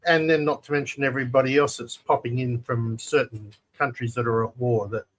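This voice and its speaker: A man's voice: mid-range voice, steady tone, fairly quick, low-quality recording. A man with an ordinary mid-range voice co-hosts a casual livestream. He speaks with emphasis and repeats figures to make them stick. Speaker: co-host. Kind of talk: casual chat.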